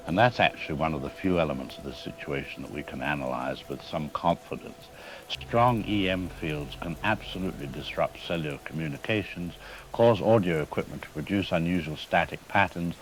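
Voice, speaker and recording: Man playing a deep-voiced, cautious scientist. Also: poor audio. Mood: analytical, guarded. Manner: measured, formal, careful. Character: cautious, troubled male scientist interviewed as radio expert. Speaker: expert guest. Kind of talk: scripted radio drama, technical explanation. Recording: steady rain-like background noise.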